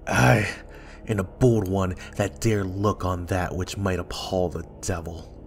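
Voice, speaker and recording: A man playing a guilt-ridden usurper king in a Shakespearean dramatic reading, with measured, declamatory verse delivery. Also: low voice, vocal fry, slight background noise. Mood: agitated, frightened. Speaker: amateur actor in a library's dramatic reading.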